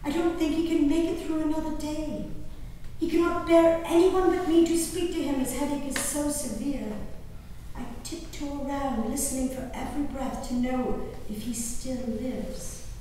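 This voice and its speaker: A distant, heavily reverberant hall recording with some hiss carries a woman acting a colonial-era gentlewoman. Her voice sits fairly high, and she delivers her diary as a stage monologue, earnest and emotive, with formal, theatrical diction. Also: steady tone, normal speed, lightly acted. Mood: anguished. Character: grieving, nature-loving 18th-century colonial gentlewoman reading her diary. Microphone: distant room microphone. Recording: very echoey hall, distant mic, background hiss.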